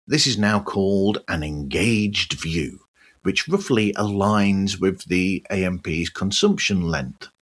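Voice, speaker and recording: A man hosting a podcast, reading a scripted news roundup in a measured, deliberate way. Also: low voice, fairly bright tone. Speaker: podcast host.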